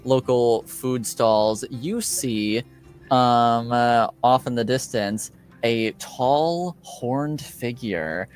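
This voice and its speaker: A man with a mid-pitched voice runs a tabletop game as game master. He improvises casually and haltingly, feeling out each phrase, over faint music. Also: clean tone.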